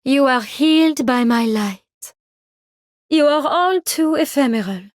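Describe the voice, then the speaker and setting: A woman's voice: high-pitched, takes it slowly, dry recording, clean studio sound. An actress playing an imperious, detached cosmic being in a video game, solemn and grandiose in her declamation. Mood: stately. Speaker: game character voice.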